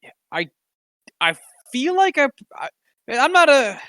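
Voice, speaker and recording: A man's voice: very high voice, big pitch swings, unhurried, bright, forceful tone, dry recording. A man co-hosting a casual sports podcast, talking in a wry, sarcastic, rambling way with plenty of fillers. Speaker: podcast co-host.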